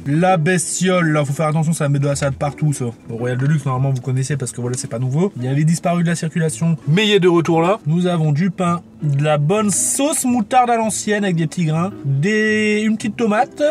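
A man's voice: fairly high pitch, expressive, fairly quick, hardly any pauses, a little mellow. A man in his late twenties with a French accent, chatting casually and slangily to viewers while eating in a parked car. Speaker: YouTube vlogger.

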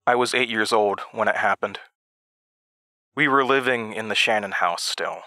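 An American man in his twenties playing a weary, sardonic Midwestern horror radio host in an audio drama, with a dry, halting manner. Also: lowish voice, pushed and bright, no room sound. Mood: reflective. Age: 20s. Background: music behind the voice.

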